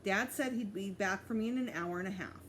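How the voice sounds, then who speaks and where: A woman reading a children's story aloud in an expressive way, like a teacher at storytime. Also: medium pitch, a little fast, slight background noise. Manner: expressive, acts out dialogue. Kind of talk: storybook read-aloud with character voices.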